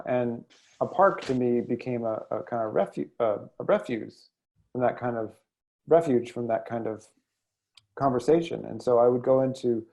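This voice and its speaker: A man answering as an interview guest in a thoughtful, halting, measured way. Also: medium pitch, a little mellow. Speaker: guest, editor and poet. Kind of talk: reflective interview conversation.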